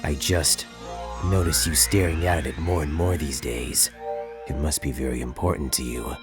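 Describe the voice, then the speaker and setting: A man voicing a brooding, earnest artificial hedgehog creature in a comic dub, speaking in a halting, restrained, solemn way. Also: very deep voice, fairly even intonation. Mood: quietly curious. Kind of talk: scripted comic dub voice acting. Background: music.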